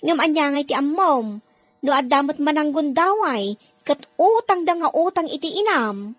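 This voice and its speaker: Radio drama: practical woman working abroad, high voice, big melodic swings, admonishing. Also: expressive, moderate pace, a bit bright, dry recording. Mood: firm advising. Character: practical, cautionary woman working abroad, advising her friend.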